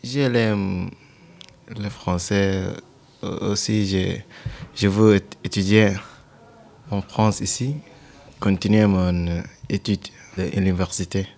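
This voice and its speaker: Low-voiced young Sudanese man, learner French. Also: fairly even intonation, takes it slowly, vocal fry, pauses often, a little mellow. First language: Zaghawa. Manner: short, simple answers in learner French.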